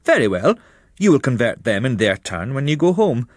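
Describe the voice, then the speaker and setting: A man's voice: medium pitch, big pitch swings, moderate pace, no room sound, clean studio sound. A man voicing a stern, zealous French priest in an audiobook, exhorting with urgent emphasis. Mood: zealous urging.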